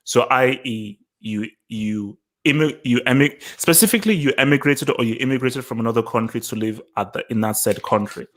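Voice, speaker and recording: A man from Nigeria and England hosting a casual live-stream discussion, phrasing things deliberately and repeating them for emphasis. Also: mid-range voice. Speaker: podcast host.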